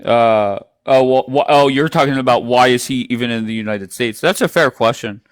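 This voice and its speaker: A man's voice: mid-range voice, normal speed, clear, resonant tone, no room sound, clean studio sound. A man hosting a live stream, questioning a guest bluntly, swearing, cutting in and sounding sarcastic. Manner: blunt, profane, interrupting, sarcastic. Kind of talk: confrontational call-in talk.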